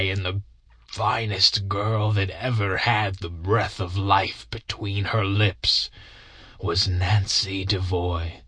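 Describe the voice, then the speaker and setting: A man voicing a bitter, broken-down old ex-soldier who speaks slowly and grudgingly. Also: deep voice, a bit bright, husky, dry recording, voice pushed down, heavily acted. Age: older. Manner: slow, heavy, grudging storytelling. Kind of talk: audiobook character reading.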